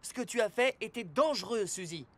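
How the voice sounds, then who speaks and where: Calm, authoritative police-car robot hero. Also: male voice, high-pitched, brisk. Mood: calm authority. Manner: authoritative, reassuring. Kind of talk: French-dubbed children's cartoon acting. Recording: music behind the voice.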